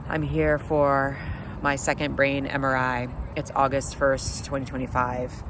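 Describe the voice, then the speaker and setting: A man with a blocked-up nose from a summer cold talks at an ordinary middle pitch, rambling through a video diary with lots of fillers and dry sarcasm. He sits outdoors on a street curb while cars pass, and their noise comes through clearly. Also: completely flat delivery, a little slow. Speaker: vlogger. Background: passing traffic.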